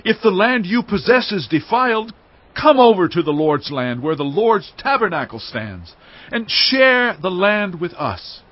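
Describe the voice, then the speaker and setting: Stern, high-voiced priestly envoy. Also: male voice, lo-fi sound. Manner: accusing, forceful, oratorical. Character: stern priestly envoy rebuking rebellious tribesmen. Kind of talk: dramatized scripture acting.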